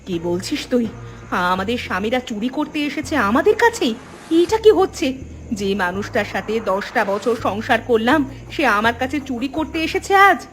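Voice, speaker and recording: High-voiced, firm village elder sister played by a woman. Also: very animated, normal speed, fairly bright tone, soft background music, poor audio. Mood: shocked, reproachful. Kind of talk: cartoon dialogue.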